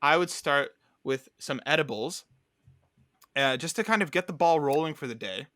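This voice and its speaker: Young male podcast co-host, upbeat rambler, bright tone, dry close sound. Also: on the high side, animated, normal speed, no echo. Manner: rambling, upbeat storytelling with many fillers. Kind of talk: casual podcast chat.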